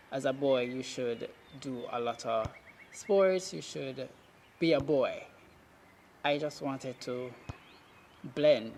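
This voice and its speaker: A person with a Jamaican accent giving a personal testimony in halting, deliberate short phrases. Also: medium pitch, a little mellow, a little hiss or hum. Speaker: documentary subject telling own story. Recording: music playing under the voice. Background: music.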